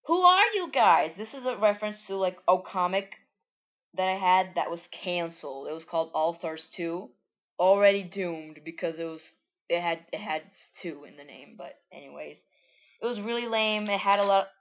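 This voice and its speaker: A woman's voice: fairly low pitch, animated, moderate pace, dull audio. A woman casually reading aloud her old comic and commenting on it, rambling and correcting herself as she goes. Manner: rambling, self-correcting, chatty.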